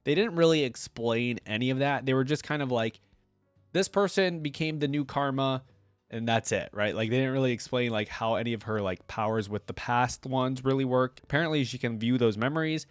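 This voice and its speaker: Guy doing a reaction vid, casual rambly chat, normal pitch, dry close sound. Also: fairly quick, clean tone, dry recording, faint music behind. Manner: rambling, conversational. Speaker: video creator reacting to game voice lines.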